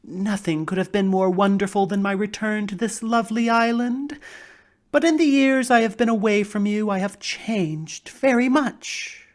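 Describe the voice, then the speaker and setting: A woman voicing a jolly, dignified male scarecrow emperor in a dramatic audiobook reading, speaking slowly and warmly. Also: mid-range voice, close to an everyday voice. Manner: slow, warm, jolly speechmaking.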